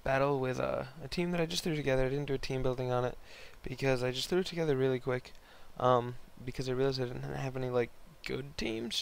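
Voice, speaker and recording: A young man hosts casual game commentary in a hoarse voice with heavy creak and fry at a middling pitch. He rambles in a laid-back, slangy way as he talks through the battle. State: hoarse, voice partly lost from concert the night before. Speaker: host narrating online game battles.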